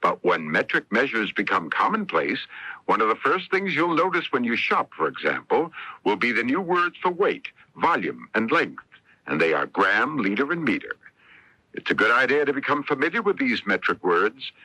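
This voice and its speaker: A man's voice: medium pitch, very animated, moderate pace, pushed and bright, husky, no room sound, rough recording. A man delivering a scripted, explanatory public service announcement on 1970s radio. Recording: dry 1970s radio broadcast.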